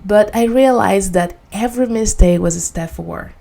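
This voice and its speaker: A woman from Venezuela with a Spanish accent gives a prepared motivational presentation in earnest, deliberate non-native English. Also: mid-range voice, vocal fry, mellow. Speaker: student giving a personal speech.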